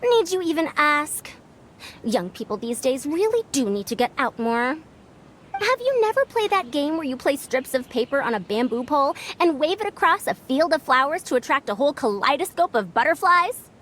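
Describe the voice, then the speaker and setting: A woman's voice: sits high, big pitch swings, moderate pace, a bit bright, a little hiss or hum. A woman voicing a proud, youthful-sounding scholar who lectures like an elder in old-fashioned phrasing, in a video game. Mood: smug. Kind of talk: animated video game voice acting.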